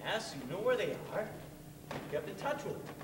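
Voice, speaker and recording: A man's voice: sits high, very animated, a little fast. A man on stage playing a brash, persuasive ex-con blues musician in a comedy, pushy and insistent. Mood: accusing. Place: theater stage, recorded from the audience. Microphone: far camera. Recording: echoey theater stage, distant noisy camera mic.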